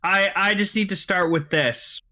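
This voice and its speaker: A man's voice: high voice, moderate pace, bright, forceful tone. A man co-hosting a podcast of casual fan chat, gushing and swearing freely. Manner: profane, gushing fan enthusiasm. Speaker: podcast co-host.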